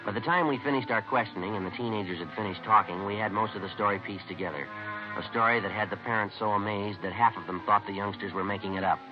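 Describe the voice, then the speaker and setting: Man as deadpan cop narrator, very low voice, rapid clipped delivery, vintage radio crime drama. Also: music in the background, natural voice. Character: terse, deadpan police detective narrating his case. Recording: muffled vintage radio recording.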